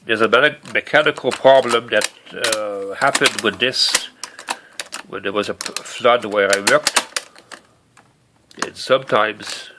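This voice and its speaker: A middle-aged man narrating an unscripted hands-on demonstration as a hobbyist, halting and rambling as he thinks aloud. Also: on the low side, heavy background noise. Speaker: hobbyist showing his broken tape recorder. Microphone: handheld camera or phone mic.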